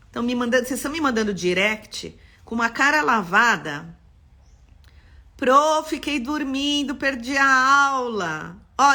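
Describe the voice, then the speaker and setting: Woman, Paulista accent, architect hosting a live lesson; bright, expressive delivery. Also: high-pitched. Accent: Paulista Brazilian Portuguese. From São Paulo, Brazil. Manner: expressive, greets viewers by name. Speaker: architect teaching a live class.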